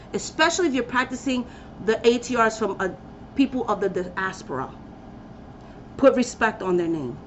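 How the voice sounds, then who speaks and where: A woman's voice: fairly high pitch, a bit bright, highs cut off, slight background noise. A woman giving a passionate, preachy talk to camera, emphatic and blunt as she rallies her listeners. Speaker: spiritual practitioner giving a talk. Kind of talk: impassioned personal rant to camera.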